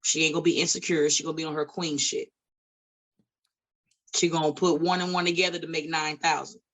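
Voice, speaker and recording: A woman's voice: low voice, little pitch movement, fairly quick, fairly bright tone, rough recording. A woman in her late thirties, a guest on a podcast, talking bluntly and with lots of swearing in a casual chat. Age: 30s. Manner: blunt and profane, preachy asides. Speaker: guest, independent wrestler. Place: studio table.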